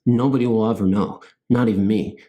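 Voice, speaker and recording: Goofy rambling man with a mellow voice. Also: fairly low pitch, steady tone, fairly quick. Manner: goofy, self-mocking, rambling. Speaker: host of a scary story channel. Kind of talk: casual rambling chat to viewers.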